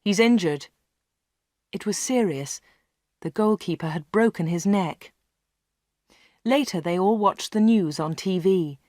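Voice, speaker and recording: Brief: woman narrator, slow and clear for learners, dry close studio mic. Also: fairly low pitch, dark tone, dry recording. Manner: clear and measured. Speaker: audiobook narrator. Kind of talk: slow read-along storytelling for learners.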